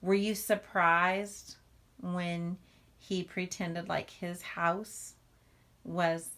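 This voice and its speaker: A woman's voice: on the low side, flat delivery, drawn-out words, fairly bright tone. A woman giving a children's read-aloud lesson as a teacher, explaining things informally.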